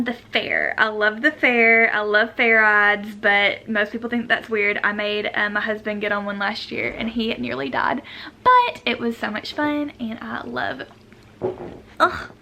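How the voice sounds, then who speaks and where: A woman's voice: fairly high pitch, unhurried, gravelly, bright, forceful tone, slight background noise. A woman, chatting casually and self-deprecatingly as she answers questions in a vlog at home. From Arkansas, United States. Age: 20s. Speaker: vlogger. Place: room at home.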